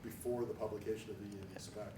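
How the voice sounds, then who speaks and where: A man in the audience asking a technical question without a microphone, speaking loudly and haltingly. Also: on the low side, steady tone. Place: meetup room, in the audience. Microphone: no microphone, far from the mic. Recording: distant, roomy, with room noise.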